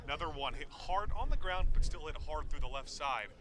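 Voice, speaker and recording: A man's voice: on the high side, animated, a little fast. A man giving color commentary on a baseball broadcast in an informal, reflective, conversational way. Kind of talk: conversational sports analysis.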